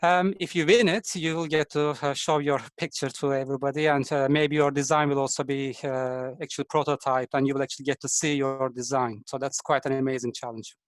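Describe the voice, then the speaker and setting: A man from Turkey with a Turkish accent, a design engineer giving a halting, friendly online presentation full of fillers over a video call. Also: mid-range voice, steady tone, dry recording.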